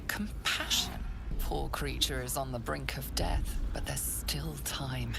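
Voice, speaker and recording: Dark fantasy game narrator, very deep female voice, creaky, hushed, ominous, deliberate, noisy ambience. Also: exaggerated voice. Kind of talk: dramatic scripted second-person narration.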